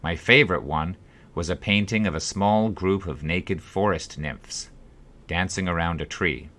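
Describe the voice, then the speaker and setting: Low-voiced man reading a story. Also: a little slow, a bit bright. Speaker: author reading own book. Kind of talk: scripted audiobook narration of a personal story.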